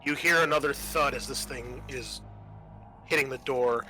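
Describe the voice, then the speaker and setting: A man with a bright, forward voice at middle pitch acts as game master of a tabletop role-playing game. He narrates and explains rules in a halting way, over clearly audible music.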